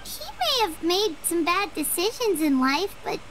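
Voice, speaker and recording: Tiny fairy sidekick girl, extremely high and squeaky, wildly animated, earnest, anime game, loud music behind. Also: unhurried, background noise, exaggerated voice. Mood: pitying, puzzled. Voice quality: squeaky, childlike. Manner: earnest, expressive. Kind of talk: anime-style game voice acting.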